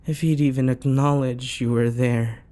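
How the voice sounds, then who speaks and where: A man playing a possessive, obsessive dating-game character in an intimate ASMR roleplay, softly coaxing with endearments, sighs and whispers. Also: medium pitch, steady tone, normal speed, close to an everyday voice. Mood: explaining, coaxing.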